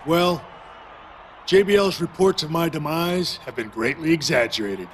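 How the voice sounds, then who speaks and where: A man's voice: fairly high pitch, moderate pace, slight background noise, natural voice. A man playing a battle-worn, determined veteran hero wrestler in a video game, delivering an earnest, emphatic promo. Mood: weary, resolute.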